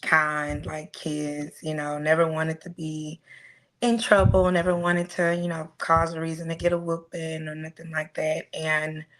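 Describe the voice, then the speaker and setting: A woman speaks as an interview guest. Her storytelling is casual and reflective, with halting phrasing. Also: deep voice, level tone, a bit bright. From South Side of Houston, United States.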